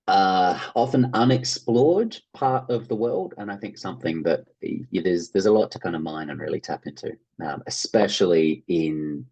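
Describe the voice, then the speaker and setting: Very deep, husky Australian man. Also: a little mellow, low-quality recording. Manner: thoughtful, discursive, hedging phrasing. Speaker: interview guest, playwright and actor. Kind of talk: conversational interview answers.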